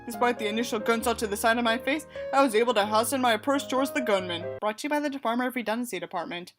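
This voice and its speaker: A woman's voice: a little high, very fast, over music. A woman reading a book aloud and adding sarcastic, exasperated, emphatic asides of her own. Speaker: audiobook reader and commentator.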